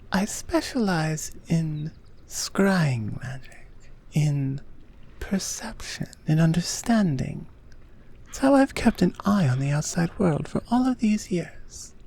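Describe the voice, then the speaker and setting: A woman playing a gentle, earnest Amazonian queen in an intimate roleplay ASMR style, speaking softly and half in a whisper. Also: on the low side, heavily acted. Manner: soft, breathy, measured, half-whispered delivery. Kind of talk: roleplay ASMR, intimate second-person audio drama.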